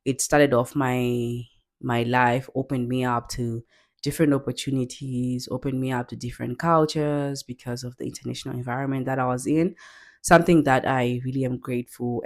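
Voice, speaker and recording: A woman's voice: rumbling low, a little mellow. Earnest young woman vlogging. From Zimbabwe. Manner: earnest, unhurried, with frequent pauses and restarts. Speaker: YouTuber. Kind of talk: casual self-introduction.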